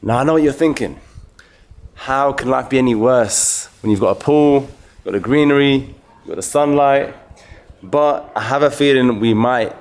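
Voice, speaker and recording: A man hosting a vlog and chatting with people on the street in a relaxed, agreeable way. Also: medium pitch, moderate pace, smooth. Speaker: street interviewer and vlog host. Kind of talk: casual vlog narration and street interviews.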